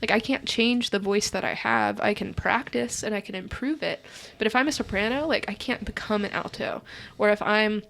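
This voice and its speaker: A woman in her twenties with a soft, breathy voice, a podcast guest who talks hesitantly and searches for her words in casual chat. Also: medium pitch, normal speed, slight background noise. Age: 20s. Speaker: podcast guest, stage performer.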